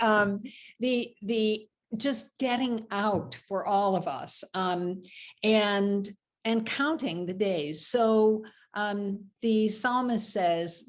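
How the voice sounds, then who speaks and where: An older American woman, a rabbi, leading an informal Torah study on a video call, talking in a loose, meandering way with many ums and asides. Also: medium pitch. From United States.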